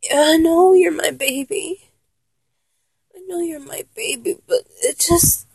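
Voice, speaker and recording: A woman playing a needy, teasing male lover in an intimate adult audio roleplay, breathy and halting, often slipping into whispers. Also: extremely high pitch, a little mellow, dry recording, exaggerated voice. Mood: overwhelmed, aroused.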